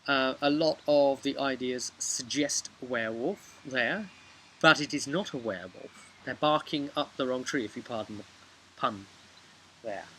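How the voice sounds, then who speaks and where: A British man tells the story of a tabletop game session in a casual, stop-and-start way. His mid-pitched voice is bright and forceful. He is recorded outdoors on a camera mic, with faint noise around him. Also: measured pace, rough recording. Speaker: game master recapping a session. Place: outdoors, on a bench by a house. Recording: outdoor webcam with background noise.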